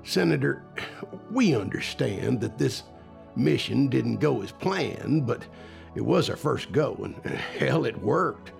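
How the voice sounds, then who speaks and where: An actor playing an earnest, steadfast military general with a gravelly voice in an audio drama. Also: male voice, mid-range voice, lively intonation, slow, faint music behind, full character voice. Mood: earnest, firm.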